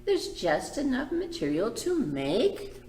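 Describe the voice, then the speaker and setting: A woman's voice: fairly high pitch, wildly swooping pitch, slow, a little mellow, echoey room, a little hiss or hum, pitched above the performer's own voice, full character voice. A woman voicing a kindly, resourceful old grandfather tailor in a storybook, pondering and announcing proudly. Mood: pondering, delighted.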